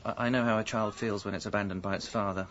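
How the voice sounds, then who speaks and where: A man's voice: on the low side, fairly even intonation, fast talker, a bit bright, highs cut off, close to an everyday voice. An actor with a British accent playing a hapless, pleading husband and father who narrates his own mishaps in a radio comedy drama, stammering and earnest. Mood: hurt, pleading.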